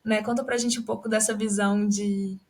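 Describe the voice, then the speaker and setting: A woman's voice: mid-range voice, little pitch movement, a little fast. A young woman hosting a casual travel interview, enthusiastic and encouraging toward her guest. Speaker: podcast host.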